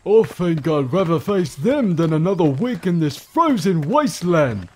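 A man voicing a husky, impatient young Old West outlaw who grumbles, in a fan comic dub. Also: a little high, big pitch swings, unhurried, darkish tone. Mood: grumbling.

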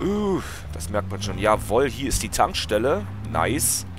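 A man with a lowish voice and a stuffy, congested nose talks his way through a game, rambling and restarting his sentences. Music plays clearly behind him. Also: sing-song, measured pace. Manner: chatty, rambling, with many fillers and restarts. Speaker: let's play gamer. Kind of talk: casual gameplay commentary.

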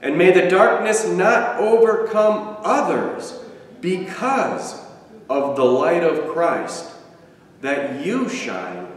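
Slow, solemn older man in echoey church. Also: on the high side, expressive. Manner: slow, solemn liturgical delivery. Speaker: pastor. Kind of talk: prayer and benediction. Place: church sanctuary. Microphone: far camera. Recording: echoey sanctuary from far off.

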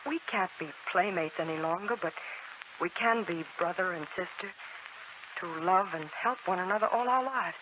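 A woman's voice: lowish voice, normal speed, natural voice. An actress playing a spirited, outspoken young woman with earnest, emphatic 1940s radio delivery. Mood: tender, earnest. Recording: muffled, noisy 1940s radio recording.

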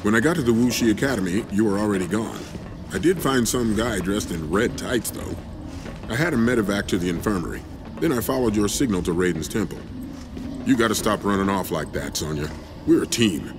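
A man's voice: lowish voice, expressive, noisy background, close to an everyday voice. A man playing a tough, no-nonsense special forces commander in a game cutscene. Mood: brisk. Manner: brisk, no-nonsense.

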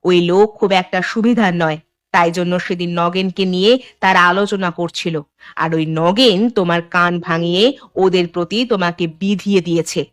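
A woman's voice: fairly low pitch, fairly even intonation, normal speed, dry recording, lightly acted. A woman playing the sensible, reproachful wife of a village headman in a cartoon, firm and admonishing. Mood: reproachful, persuading. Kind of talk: Bengali cartoon voice acting.

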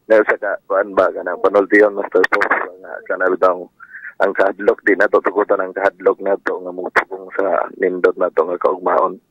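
A man with a Filipino accent calling in to a radio show, chatting casually in a hesitant, halting way. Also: sits low, little pitch movement, a bit bright. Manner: hesitant, halting, repeats himself. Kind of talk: casual phone chat. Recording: dull lo-fi phone call. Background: faint music.

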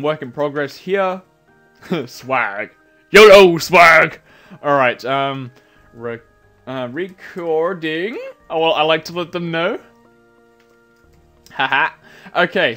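A man giving casual, rambling commentary over a video game while sounding nasal from a cold, with bursts of excited yelps. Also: on the high side, clear, resonant tone. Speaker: gameplay commentator.

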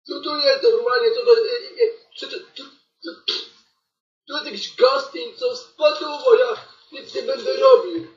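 A defiant, cheeky schoolboy voiced by a man in a homemade radio comedy. The voice is very high and gravelly, with mocking drawn-out exclamations. It sounds muffled, in an echoey room. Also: very animated, far from the mic, exaggerated voice. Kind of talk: amateur improvised comic radio play.

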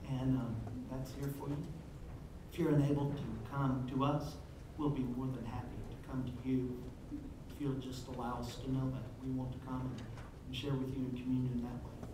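A man in his forties leading a communion prayer as pastor, earnest and measured, his phrases trailing off softly. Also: medium pitch, flat delivery, background noise. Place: church sanctuary with stage. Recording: echoey sanctuary heard from far off.

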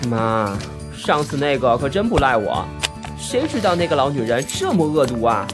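A man playing an earnest, easily flustered young job seeker in an audio drama, with an expressive delivery. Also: medium pitch, normal speed, natural voice. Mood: exasperated. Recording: music behind the voice. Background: background music.

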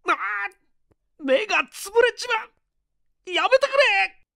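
A man voicing an injured, defiant gangster in a story reading, rough and desperate. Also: extremely high pitch, expressive, takes it slowly, sharp tone, voice pushed up, exaggerated voice. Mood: panicked. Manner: rough, anguished outbursts.

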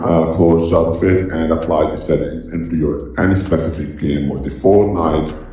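Man playing a male game ice king, deep, dark, heavy echo, distant, voice-changer effect, tutorial narration. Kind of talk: screen-recorded tutorial voice-over. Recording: heavily echoing, distant processed sound.

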